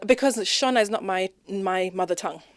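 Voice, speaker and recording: A woman from Zimbabwe, a podcast guest talking expressively in a conversational interview, speeding up and rising in pitch when animated. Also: brisk.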